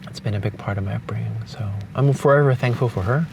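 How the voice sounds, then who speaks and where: Young guy from hong kong, cantonese first language, kinda low voice, talking slow and thoughtful, mic a bit far off on a beach. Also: creaky. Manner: thoughtful, pausing with restarts. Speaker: vlogger. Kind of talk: reflective spoken letter. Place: beach at dawn, outdoors. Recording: distant outdoor mic with noise.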